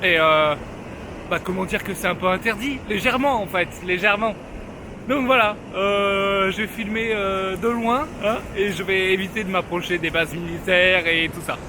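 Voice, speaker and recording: A young man talks through his travel vlog in a relaxed, slangy way, cracking jokes at his own expense. His voice sits a little high for a man. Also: normal speed, noisy background. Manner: joking, slangy, self-mocking. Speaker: travel vlogger. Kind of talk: casual travel vlog narration.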